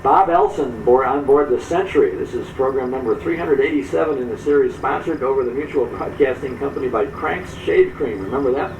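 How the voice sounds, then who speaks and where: An older man with a medium-pitched voice hosts a radio show in a folksy, conversational style. He sounds appreciative and easygoing in his wording. The broadcast is echoey, with clear train noise behind him. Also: brisk, few pauses, recorded from a distance. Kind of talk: casual live radio interview and hosting. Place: open back platform of train car. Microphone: broadcast microphone. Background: train and railway noise.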